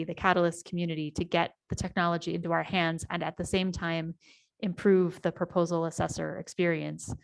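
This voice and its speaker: A woman's voice: lowish voice, clean tone, poor audio. A middle-aged American woman telling her story and presenting a project on a video call, with many ums and restarts. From United States. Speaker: community presenter. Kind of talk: online town hall presentation.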